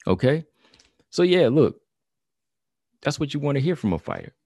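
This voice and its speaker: A man's voice: mid-range voice, big pitch swings, normal speed, gravelly, dark tone, no room sound, clean studio sound. A man giving a casual commentary monologue on combat sports, talking loosely with lots of "you know" and "y'all". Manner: conversational, heavy on "you know" and "y'all", reads quotes aloud.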